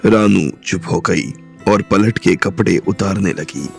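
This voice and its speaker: Deep gravelly guy narrating a story, steady, music under. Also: measured pace. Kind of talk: audiobook narration. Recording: soft music bed underneath.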